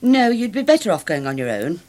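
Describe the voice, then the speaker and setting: A woman's voice: lowish voice, big pitch swings, fairly quick, darkish tone. An actress playing a practical middle-aged mother and new grandmother, in a radio drama. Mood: motherly.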